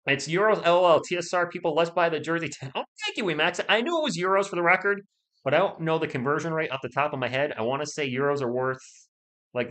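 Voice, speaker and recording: Chatty man hosting a live stream. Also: fairly high pitch. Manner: chatty, talks to chat, loud bursts when testing. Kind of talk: casual live-stream chat. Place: home streaming setup, indoors. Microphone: laptop or desk mic.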